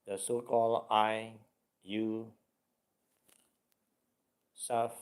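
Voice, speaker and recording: A male meditation teacher with a low voice guides listeners very slowly. He delivers short, halting phrases, often repeating them in a deliberate way. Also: flat delivery.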